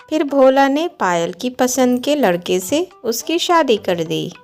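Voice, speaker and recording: Slow-paced woman narrating a moral tale. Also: medium pitch, darkish tone. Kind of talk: scripted storybook narration for a cartoon moral tale. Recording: music behind the voice. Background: background music.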